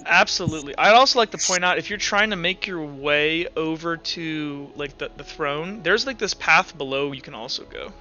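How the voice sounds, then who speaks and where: High bright-voiced man running a game. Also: normal speed, hardly any pauses. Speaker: game master. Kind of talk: running a tabletop roleplaying game. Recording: dull sound with music underneath. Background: music.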